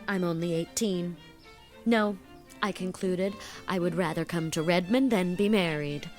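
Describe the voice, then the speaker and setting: A woman's voice: lowish voice, unhurried, darkish tone, faint music behind, close to an everyday voice. A woman voicing a chattering, fun-loving girl of eighteen in a dramatized audiobook, gushing and playfully dramatic. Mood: chatty. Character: giddy, flighty 18-year-old girl, fond of fun.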